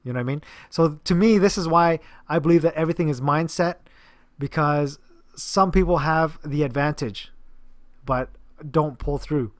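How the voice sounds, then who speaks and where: A man's voice: a little high, normal speed, no room sound, clean studio sound. A man, a personal trainer, talking casually to camera about a client, rambling with many fillers and restarts. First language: English.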